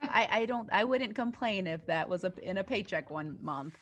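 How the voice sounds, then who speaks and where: A woman journalist hosting a podcast, reading a scripted introduction in a clear, measured way and then putting questions to her guests. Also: mid-range voice, lively intonation, a bit bright.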